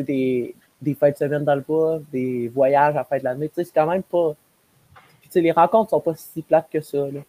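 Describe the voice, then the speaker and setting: A man's voice: on the high side, mellow, no room sound, rough recording. A young man with a Québécois accent chatting casually on a podcast panel over a video call. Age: young adult. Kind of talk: casual chat. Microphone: headset or earbuds on a video call.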